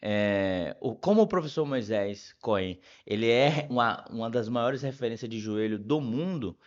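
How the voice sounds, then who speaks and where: A middle-aged man with a Bahian Brazilian accent telling stories casually as a podcast guest, often pausing and repeating words as he thinks. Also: medium pitch, expressive, lo-fi sound. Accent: Bahian Brazilian Portuguese. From Salvador, Bahia, Brazil. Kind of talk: casual conversational storytelling.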